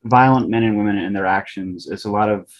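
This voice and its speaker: A man, an actor and film scholar, giving measured analysis in a panel discussion. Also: lowish voice, muffled recording.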